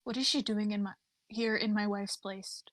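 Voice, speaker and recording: A fierce woman fighter on a mission, acted out by a woman, in a mid-pitched voice. The sound is dry and close, as in an amateur script reading. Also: steady tone, moderate pace, a bit bright, no echo, lightly acted. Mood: urgent, shocked. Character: fierce female fighter searching for her wife.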